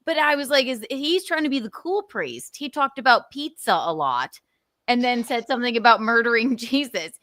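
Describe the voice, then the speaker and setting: A woman's voice: on the high side, lively intonation, normal speed, fairly bright tone, dry recording, clean studio sound. Giggly woman host telling a story. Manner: storytelling, giggly, acts out quotes. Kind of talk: casual comedic chat.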